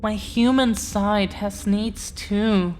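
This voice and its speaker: A woman's voice: medium pitch, fairly even intonation, slow pace, a little mellow, a little hiss or hum, close to an everyday voice. A woman playing an affectionate, earnest dragon-blooded girlfriend in an intimate audio roleplay, confessing to the listener in a hesitant, tender way. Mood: tender, vulnerable.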